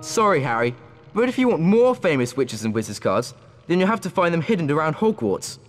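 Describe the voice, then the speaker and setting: A cheeky deal-making schoolboy from a video game. The fairly high voice moves about in lively swings and echoes with long reverb. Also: male voice, brisk, over music. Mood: cheeky, salesmanlike.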